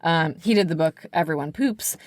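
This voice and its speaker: A woman's voice: deep voice, moderate pace, dry recording. A woman speaking as an interview guest, explaining things casually in very informal, conversational language. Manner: informal, peppering speech with "like". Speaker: interview guest, book editor.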